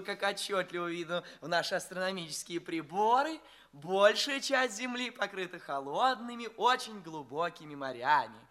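An actor playing an earnest, cocksure young alien student in an audio play, reciting in a precise, declamatory way. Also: male voice, high voice, bright, forceful tone. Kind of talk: theatrical audio play acting.

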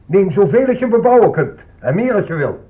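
An actor playing a stern, coaxing colonial zealot with a declamatory, persuasive manner in a radio drama. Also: male voice, high voice, big pitch swings, a little fast, slightly rough, full character voice. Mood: coaxing, hearty. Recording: tinny, phone-like old radio recording.

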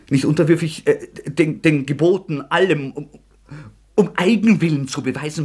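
An actor playing a tormented, defiant young man confessing to murder in an agitated, anguished German radio drama performance, with a husky voice that often breaks. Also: a little high. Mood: fervent, proud. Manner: agitated, anguished, halting outbursts.

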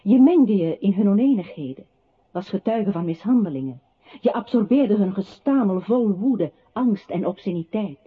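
A solemn, quietly accusing female narrator in a radio play. The voice is husky at a middle pitch, phrasing each line deliberately. The sound is narrow and phone-like. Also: expressive. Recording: muffled phone-like old radio recording.

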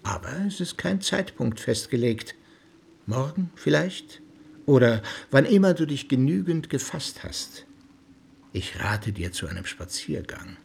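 Stern uncle with sweeping intonation. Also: male voice, medium pitch, very animated, gravelly, a little mellow, slightly rough, full character voice. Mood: cool, formal. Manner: formal, lecturing, reproachful, with weighty pauses. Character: stern, indignant uncle, patriarch of a genteel household. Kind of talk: German radio drama acting.

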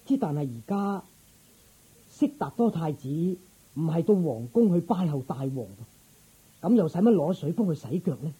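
An attentive royal guard from a Cantonese radio drama. His voice is husky and muted, swinging widely in pitch as he speaks with formal deference to his master. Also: lively intonation, normal speed, warm tone, low-quality recording. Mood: hesitant, deferential.

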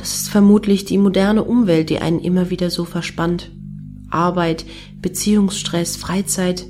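An actress playing a wry, self-indulgent clubgoer in a comic radio play, talking articulately and with irony. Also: female voice, fairly low pitch, steady tone, moderate pace, a little mellow, lightly acted. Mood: wry, musing. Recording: music behind the voice.

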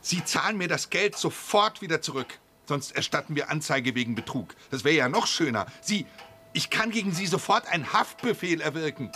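An actor playing an officious, self-righteous office bureaucrat in a radio drama, pedantic, formal and stern. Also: male voice, fairly high pitch, lively intonation, moderate pace, bright, forceful tone. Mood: stern, demanding. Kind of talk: German radio drama acting.

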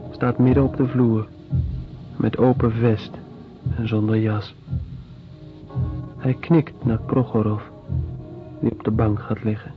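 A man playing a sober, grave witness giving a deliberate dramatic monologue in short phrases in a radio play. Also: mid-range voice, lightly acted. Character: sober male witness recounting a prison flogging. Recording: dull, muffled radio play recording.

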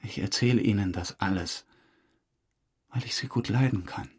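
A man's voice: lowish voice, moderate pace, darkish tone, husky. An actor playing a boastful, weary male suspect who rambles through a confession in a naturalistic radio drama. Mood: insistent, pleading. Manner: rambling confessional monologue, acting out remembered exchanges.

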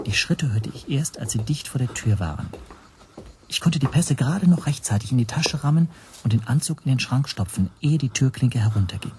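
An actor narrating a radio drama in the first person as an anxious language teacher, hushed and tense. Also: male voice, mid-range voice, expressive, a little fast, a little hiss or hum, close to an everyday voice. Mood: tense dread. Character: anxious male language teacher recounting his spy troubles.

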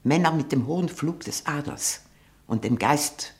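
An actress playing a haughty, sharp-tongued old aunt in a 1960 German radio drama, probing and disdainful, living on her memories. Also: low voice, measured pace. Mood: nostalgic, proud.

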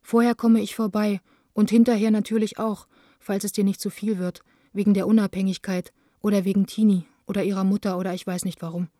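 A woman plays a lovelorn young letter writer in a radio play. Her voice is soft-toned and warm, with an intimate, earnest delivery. The recording is very dry. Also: medium pitch, level tone, brisk, no room sound, natural voice. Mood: sad, reproachful. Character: lovelorn, reproachful young woman, theatre director.

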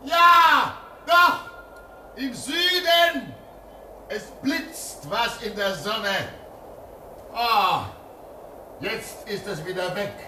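Fervent old man, very slow and swooping. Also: high-pitched, animated, bright, forceful tone, reverberant, distant mic, slight background noise, exaggerated voice, poor audio. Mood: expectant. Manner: exclamatory, declamatory, solemn. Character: old fatherly man at a remote outpost, fervent, solemn. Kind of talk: theatrical German radio play acting.

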